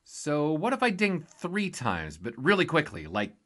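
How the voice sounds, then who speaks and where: An actor playing an eager, glib young sports reporter in a 1920s period audio drama, with a wisecracking, playfully formal manner. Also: male voice, fairly high pitch, wildly swooping pitch, unhurried, a bit bright, heavily acted.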